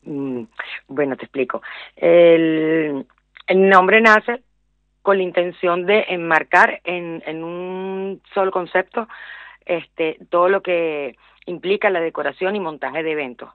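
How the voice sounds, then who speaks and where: Venezuelan lady on the phone, middle-aged, halting speech, repeats words, tinny dry sound. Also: lowish voice, no room sound. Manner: hesitant, many fillers and repeated words. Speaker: guest, small business owner. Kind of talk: radio interview.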